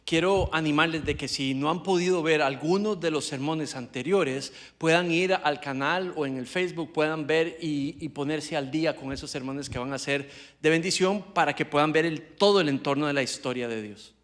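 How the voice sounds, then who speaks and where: Hesitant church interpreter, slightly high voice. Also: male voice, normal speed, fairly bright tone. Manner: hesitant, many fillers and restarts while interpreting. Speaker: Spanish interpreter for the preacher. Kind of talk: live sermon interpretation. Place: church sanctuary.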